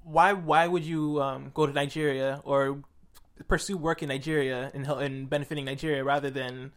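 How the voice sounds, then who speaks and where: A woman's voice: a bass voice, fairly even intonation, normal speed. A woman hosting a casual interview podcast, chatting with playful, mock-surprised banter. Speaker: podcast host.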